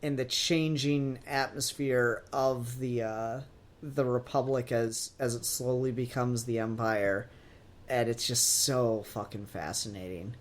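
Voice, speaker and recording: A man's voice: medium pitch, steady tone, unhurried. A man talking casually as a podcast host, opinionated and emphatic, swearing freely. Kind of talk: casual pop-culture podcast discussion.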